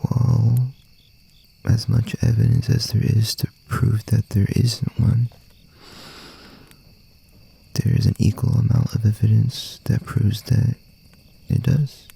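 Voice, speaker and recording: Man playing a slow, husky, whispery boyfriend. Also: very animated, exaggerated voice. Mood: musing. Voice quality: soft, breathy, husky, gravelly low murmur. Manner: unhurried, rambling, reassuring, with pauses. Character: gentle, teasing young boyfriend comforting a scared partner. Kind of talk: intimate ASMR boyfriend roleplay.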